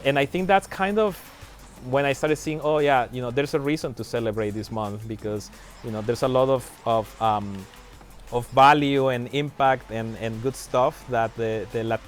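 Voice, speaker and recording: A man chats casually on a game livestream, often restarting his sentences and trailing off. His voice sits at an everyday middle pitch, and faint music plays behind him. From Mexico. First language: Spanish. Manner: hesitant, many restarts and fillers. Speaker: livestream guest.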